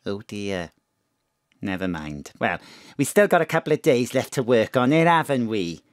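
A woman's voice: rumbling low, very animated, normal speed, no room sound, clean studio sound. An actress with a South Welsh accent playing a flirty, scatterbrained middle-aged mother in a comic audio drama. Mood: breezy.

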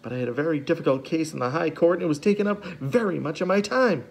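A man's voice: on the high side, fast talker, gravelly, slightly rough, pitched above the performer's own voice, low-quality recording. A man putting on a kindly lady judge. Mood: eager. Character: kindly middle-aged woman judge, warm and gentle.

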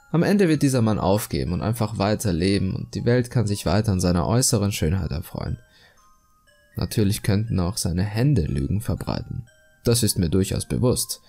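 A man voicing a self-satisfied, calmly cruel murderer obsessed with beauty, in a measured, confiding horror monologue. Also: on the low side, gravelly, exaggerated voice. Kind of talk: German creepypasta horror monologue, dramatic reading.

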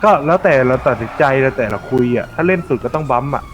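A man's voice: medium pitch, expressive, fast. A man in casual gaming chat, explaining things bluntly and often repeating himself. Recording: faint siren and vehicle noise. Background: game sirens and traffic.

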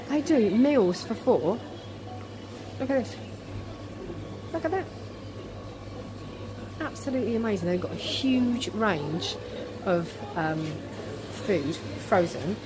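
A woman's voice: mid-range voice, noisy background. A woman filming a casual tour of a farm shop on her phone, talking enthusiastically and exclaiming at what she finds. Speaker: vlogger touring a farm shop. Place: large indoor farm shop. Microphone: handheld phone camera. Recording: loud background music.

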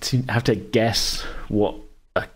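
Podcast host and game master: British man, low voice, loose, rambling, jokey conversational delivery. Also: fairly even intonation, slow, breathy edge. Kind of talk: casual chat about a tabletop game.

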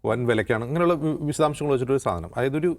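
A man with a Kerala accent talks through current affairs on a discussion show. His delivery is fast and detailed, in an explanatory style at a medium pitch. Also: lively intonation. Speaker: news anchor and panelist on a news discussion show.

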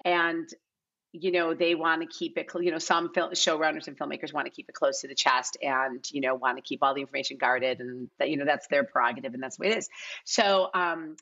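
Low-voiced woman, slightly muffled. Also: fairly even intonation, brisk, a bit bright. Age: middle-aged. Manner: informal, repeats herself for emphasis. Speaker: casting director, podcast guest. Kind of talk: casual podcast interview talk. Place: large living room at home. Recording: slightly dull, lo-fi video-call sound.

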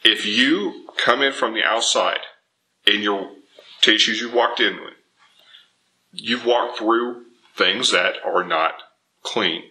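A man in his thirties giving informal, animated, emphatic instruction to camera as a martial arts teacher. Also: fairly low pitch, slow, pauses often, rough recording. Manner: animated, emphatic, acts out imagined objections. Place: indoor dojo with bare walls and wood floor.

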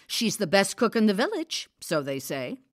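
A woman playing a composed, caring village healer in an audio drama, steady, practical and coaxing. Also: medium pitch, moderate pace, dry recording, clean studio sound. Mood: brisk, steady.

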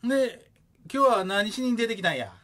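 A middle-aged man with a Kansai accent playing a gruff, joking widowed father in a comic radio drama. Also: sits high, sing-song, normal speed, sharp tone, full character voice. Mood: exasperated.

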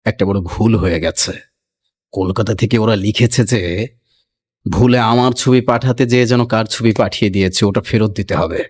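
A man voicing a calm, cold, wealthy master of the house in a storybook tale, measured and matter-of-fact. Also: on the low side, long reverb tail, voice pushed down, lightly acted. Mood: cool.

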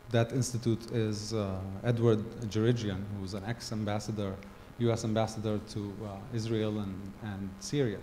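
A middle-aged man from Gaza tells a personal story at a conference, speaking haltingly with many ums and self-corrections. His voice sits low and mellow. He holds a handheld mic in a large hall with a long reverb and faint background noise.

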